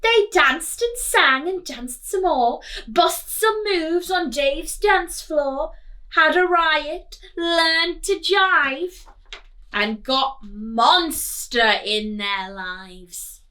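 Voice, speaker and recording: Storytime teacher, female: high, very lively voice, expressive sing-song rhymes, coaxing questions for little ones. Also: drawn-out words. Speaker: teacher reading a children's storybook aloud. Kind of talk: animated storytime reading with questions to young listeners.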